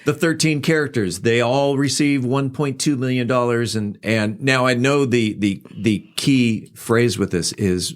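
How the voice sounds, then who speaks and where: A man interviewing a guest on a podcast, conversational, putting his questions in a halting, deliberate way. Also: mid-range voice.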